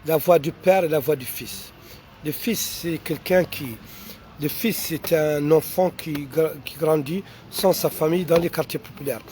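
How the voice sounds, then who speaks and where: A man's voice: a little high, a little mellow. Man, Mauritanian accent, non-native French, hesitant, many fillers, faint traffic hiss behind. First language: not French. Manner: halting, many fillers and repeated restarts. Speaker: writer answering audience questions. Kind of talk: unscripted answers to online questions.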